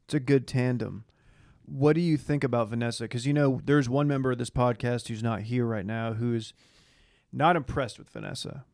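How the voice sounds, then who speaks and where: A man's voice: mid-range voice, steady tone, moderate pace, creaky, darkish tone, no echo. Close, dry-sounding man in casual banter. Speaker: podcast co-host.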